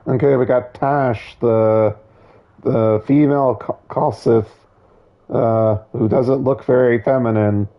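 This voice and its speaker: A hoarse man losing his voice, giving casual, opinionated, digressive commentary on a video game. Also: medium pitch, fairly even intonation, slow, dull audio. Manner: opinionated, digressive, many restarts.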